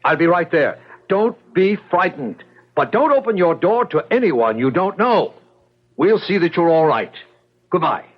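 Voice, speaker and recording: Volatile middle-aged dancer in radio drama. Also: male voice, high voice, very animated, measured pace, full character voice. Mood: urgent, reassuring. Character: male ballet star nearing middle age, short-tempered but protective. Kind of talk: 1970s radio drama acting. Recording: muffled 1970s radio broadcast recording.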